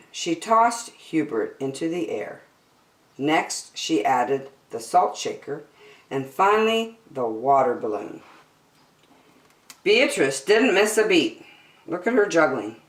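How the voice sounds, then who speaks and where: A woman hosting a children's story time, reading a picture book aloud expressively. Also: sits low. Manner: expressive, addresses listeners as friends. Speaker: storytime host. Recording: roomy indoor echo.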